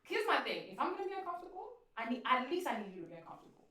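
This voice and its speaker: A woman chatting on a podcast at a rapid clip. She tells stories with energy and mimics the people in them. Her voice sits a little off the mic in a roomy, echoing space. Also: high-pitched, over a noisy crowd. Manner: animated storyteller, acts out voices of others. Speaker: podcast co-host. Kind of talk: casual chat. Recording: roomy sound, distant from mic.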